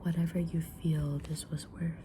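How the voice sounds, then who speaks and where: A woman whispering softly in an ASMR roleplay as a kind, mysterious witch reading tarot, with a gentle, soothing delivery. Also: sits low, level tone, background noise. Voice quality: breathy unvoiced whisper. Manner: gentle, soothing, unhurried fortune-teller delivery. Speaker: ASMR creator playing a tarot reader.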